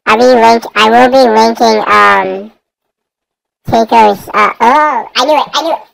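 A gaming YouTuber heard through a voice changer, giving casual, slangy and repetitive gameplay commentary in a gravelly voice. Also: mid-range voice, unhurried, fairly bright tone, no echo, low-quality recording. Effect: voice changer raising the voice.

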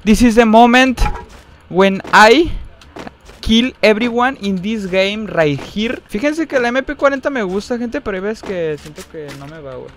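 A young man with a Mexican accent giving excitable, slangy live commentary while playing a mobile shooter, with frequent high outbursts. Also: unhurried, slight background noise. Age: young adult. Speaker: gaming streamer. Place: indoor room at a desk, facecam. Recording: game music underneath. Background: game music.

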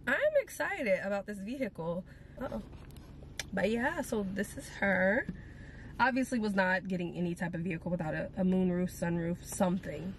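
Girly woman chatting casual to her vlog viewers, medium pitch, some background noise. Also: moderate pace. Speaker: vlogger.

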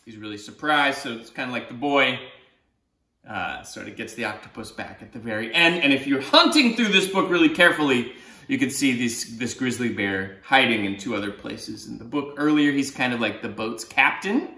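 A man in his thirties, a children's author chatting to kids in an animated storytelling style. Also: medium pitch, normal speed, echoey room.

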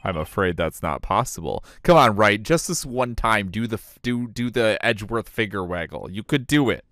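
A man's voice: lowish voice, lively intonation, moderate pace, fairly bright tone, no room sound. A man who streams games, reading a courtroom game aloud in a dramatic way. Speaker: game streamer voicing all characters. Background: game music.

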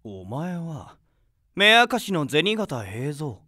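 A man's voice: a little high, big pitch swings, slow, bright, forceful tone, no room sound, studio quality, exaggerated voice. A man playing a pompous, vain young occult swordsman in an anime-style audio drama, with grandiose archaic samurai speech. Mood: grave, defiant. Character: pompous, vain, occult swordsman youth, theatrical and easily flustered.

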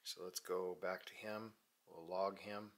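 A man's voice: low voice, measured pace. An American man in his forties narrating a casual vlog, offhand and half talking to himself. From United States. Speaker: vlogger, amateur radio hobbyist. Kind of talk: casual vlog narration while operating radio. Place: unfinished basement with metal shelving. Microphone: handheld camera mic at arm's length. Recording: steady background noise, handheld camera audio.

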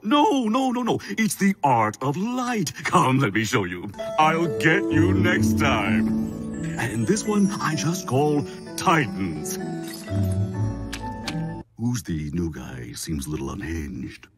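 Wildly swooping theatrical supervillain. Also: male voice, medium pitch, big pitch swings, slow pace, loud music behind the voice. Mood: showy. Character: theatrical, vain adult male supervillain artist. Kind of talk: animated cartoon voice acting.

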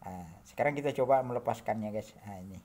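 A man narrating an informal hands-on demo, halting and muttering to himself as he works, recorded indoors on a handheld phone. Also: fairly low pitch, a little mellow, a little hiss or hum. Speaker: hobbyist electronics builder demonstrating circuits. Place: indoor home workbench.

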